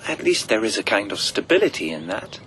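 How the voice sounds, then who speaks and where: An earnest servant and companion in a radio play. His medium-pitched voice rises and falls a lot, careful and deferential, over a noisy, rumbling background. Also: sing-song, brisk, a bit bright. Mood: thoughtful. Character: earnest, cautious manservant companion to a young master. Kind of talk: radio drama acting.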